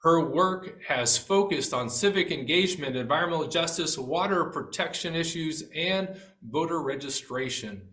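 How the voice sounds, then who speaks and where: Man moderator, bright and somewhat high voice, formal intros, roomy echo. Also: expressive, normal speed, hardly any pauses. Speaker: panel moderator. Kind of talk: reading introductions.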